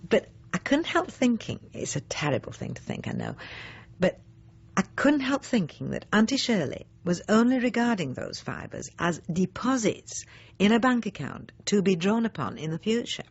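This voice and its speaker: Husky, prim, petty housewife. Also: female voice, mid-range voice, sing-song, moderate pace, heavily acted. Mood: suspicious. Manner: prim, pointed, self-justifying, with emphatic swoops. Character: self-righteous, petty, snobbish middle-aged housewife nursing family grudges. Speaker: actor in a radio monologue. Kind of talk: radio drama monologue, confiding. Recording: slightly dull, lo-fi radio recording.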